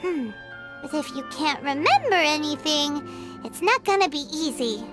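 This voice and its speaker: A tiny floating companion with a piercingly high, bouncy voice that leaps up and down. She is eager and chirpy and keeps exclaiming. Loud game music plays behind her. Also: big pitch swings, moderate pace. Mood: curious. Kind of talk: video game dub.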